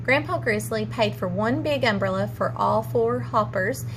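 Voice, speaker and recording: A woman's voice: mid-range voice, moderate pace, noisy background. A woman, a teacher, narrating a children's storybook aloud.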